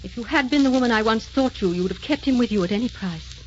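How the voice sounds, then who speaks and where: An actress playing a proud, wronged and resigned wife in an old-time radio drama, formal and restrained. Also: female voice, on the high side, quick pace, lightly acted. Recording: muffled, noisy old radio recording.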